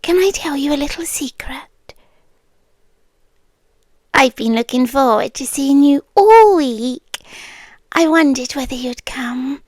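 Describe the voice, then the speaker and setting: A British woman playing a soothing, possessive hypnotist girlfriend in an ASMR roleplay, speaking softly and breathily, often in a whisper, close to the microphone. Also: high voice, expressive, dry recording. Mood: doting, possessive. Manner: slow, hypnotic, coaxing.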